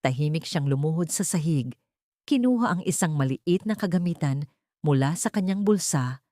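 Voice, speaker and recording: Low-voiced woman narrating a drama. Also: darkish tone, no echo, clean studio sound. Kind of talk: scripted dramatic audio story narration.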